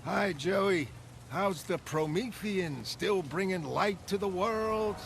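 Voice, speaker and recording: A man's voice: high-pitched, slow, gravelly, heavily acted. A man voicing a brash, tough-talking young woman cab driver, blunt, streetwise and combative. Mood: brash, irritated. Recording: loud music underneath.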